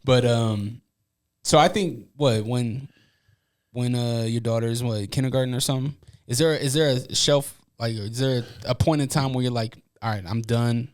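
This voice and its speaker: A man's voice: medium pitch, moderate pace. An American man hosting a podcast, asking questions in an informal, slangy way. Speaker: podcast host, interviewer. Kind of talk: casual podcast chat.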